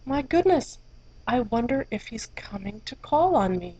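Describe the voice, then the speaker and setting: Woman puts on a shy, idle male elephant for a storybook read-aloud: lower than her own voice, hushed, near whisper. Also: expressive, normal speed, dry recording. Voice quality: low, hushed, at times whispered. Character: shy, quiet male elephant who loves idleness. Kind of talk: storybook reading. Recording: dull lo-fi, dry.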